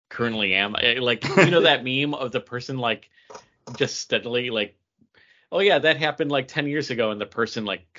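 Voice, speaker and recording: A man's voice: lowish voice, bright, forceful tone, muffled recording. A man co-hosting a comic book podcast in casual chat, with a wry, self-mocking way of talking.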